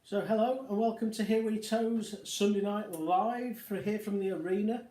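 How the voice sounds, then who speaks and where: A man's voice: sits high, fairly even intonation, normal speed, dark tone. A man co-hosting a casual livestream at home, welcoming viewers with dry, joking asides. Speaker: co-host of a motorhome live chat. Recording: roomy echo.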